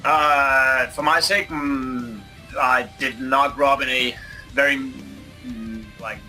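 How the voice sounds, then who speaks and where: A man in his late twenties from Denmark, a band member, answering thoughtfully with pauses. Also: mid-range voice, fairly even intonation, pushed and bright, faint music behind, poor audio. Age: 20s. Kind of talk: casual interview answers.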